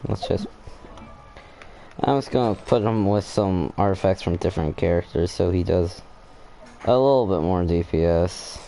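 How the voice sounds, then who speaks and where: A young man giving casual, halting gameplay commentary, thinking aloud as he plays. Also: low voice, warm tone, faint music behind, low-quality recording. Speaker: gaming video host.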